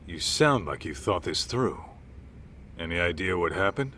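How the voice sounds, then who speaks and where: Gruff, low-voiced detective, forceful edge. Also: male voice, expressive, a little slow, slight background noise, full character voice. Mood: probing, skeptical. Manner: informal, blunt, clipped tough-guy phrasing. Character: gruff middle-aged male ex-yakuza detective, wearily patient. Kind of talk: video game cutscene dub acting.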